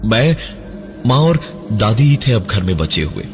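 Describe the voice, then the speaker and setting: A man narrating a horror story in the first person, dramatically, with suspenseful, deliberate pauses. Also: lowish voice, very animated. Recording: music behind the voice, 3D voice effects. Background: prominent music.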